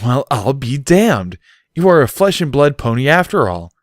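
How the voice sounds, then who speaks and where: Man as sharp-tongued female pony, storybook character, bossy, scolding, emphatic, higher loud delivery. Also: very animated, moderate pace, no room sound, studio quality, close to an everyday voice. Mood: amused.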